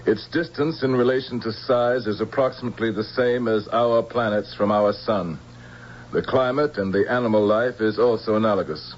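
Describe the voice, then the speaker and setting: A man's voice: lowish voice, lightly acted. Man acting a grave general on muffled old radio. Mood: grave, reporting. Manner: measured, emphatic, insistent. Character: grave, resolute male general of a future army. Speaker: radio drama actor. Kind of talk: theatrical radio drama acting. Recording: dull, hissy old radio recording with hum.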